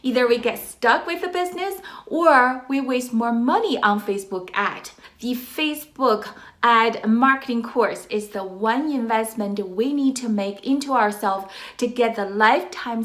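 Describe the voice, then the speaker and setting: A woman with a Chinese accent giving an enthusiastic, emphatic review of an online course, talking casually to her viewers. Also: a little high. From China. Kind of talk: casual product review to camera.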